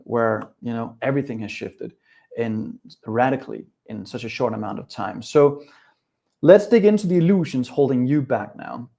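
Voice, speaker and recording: A middle-aged man from Denmark with a Danish accent leads a live webinar as a coach. He speaks upbeat and direct, talking straight to his viewers and their chat, with a voice of ordinary pitch for a man. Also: creaky, darkish tone.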